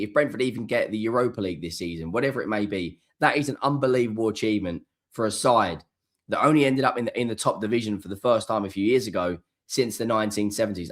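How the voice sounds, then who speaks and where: A hoarse man hosts a solo football reaction, talking emphatically and often repeating key words for stress. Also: fairly low pitch, a bit bright. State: hoarse, coming down with flu. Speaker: football channel host. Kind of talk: solo post-match reaction.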